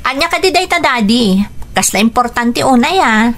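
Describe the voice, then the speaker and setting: An actress playing an affectionate, teasing young daughter in a radio drama, speaking with an Ilocano accent. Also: female voice, a little high, expressive, normal speed, fairly bright tone, slight background noise. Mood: concerned, surprised. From Philippines.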